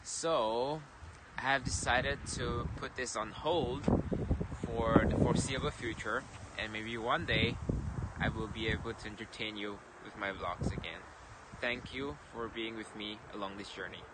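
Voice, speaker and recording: A man's voice: mid-range voice, steady tone, unhurried. A young man giving a spoken announcement to camera out in an open field. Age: young adult. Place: outdoors, open grassy field. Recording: loud outdoor wind and rustling noise.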